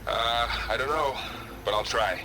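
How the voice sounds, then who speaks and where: A man's voice: mid-range voice, unhurried, bright, forceful tone, slight background noise, rough recording. A man acting a supportive, easygoing young warrior companion in a video game. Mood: uneasy.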